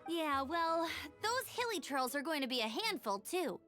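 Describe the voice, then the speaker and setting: A woman's voice: high-pitched, sing-song, moderate pace, bright, forceful tone, soft background music, full character voice. A woman playing a spirited, determined young female outrider in a video game. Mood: confident.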